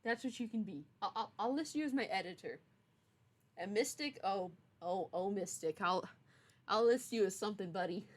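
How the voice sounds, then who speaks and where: A woman's voice: medium pitch, expressive, moderate pace. A young adult woman streamer chatting casually with her viewers in a playful, teasing, mock-stern way. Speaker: streamer, game writer. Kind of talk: casual livestream chat.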